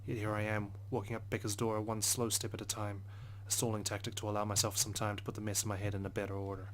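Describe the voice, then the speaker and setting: Quick-reading man with an even, low voice. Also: slight background noise. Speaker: let's play narrator. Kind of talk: reading visual novel narration aloud.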